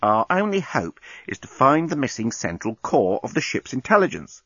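A man's voice: medium pitch, big pitch swings, moderate pace, fairly bright tone, breathy edge, no room sound, muffled recording, heavily acted. A man voicing a matter-of-fact bomb disposal expert, explaining plainly in a storybook reading. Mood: serious.

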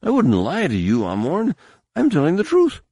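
A man voicing a terrified young male captive who pleads frantically in a high, trembling voice. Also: huge pitch swings, normal speed, mellow, slightly rough, no echo, clean studio sound, full character voice. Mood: frantic pleading.

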